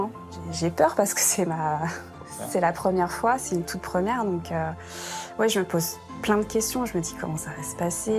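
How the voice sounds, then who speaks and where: A woman in her thirties, an expectant mother, chatting in a lively, expressive way. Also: mid-range voice. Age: 30s. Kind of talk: casual interview chat.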